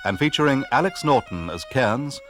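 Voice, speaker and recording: A man's voice: medium pitch, expressive, normal speed, music in the background. A man reading a formal radio drama announcement.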